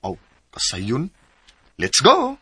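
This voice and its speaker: An actor playing a smooth-talking young man in a radio drama, playful and cajoling, with a Cebuano Filipino accent. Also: mid-range voice, huge pitch swings, very slow, music in the background, exaggerated voice. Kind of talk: Philippine radio drama acting.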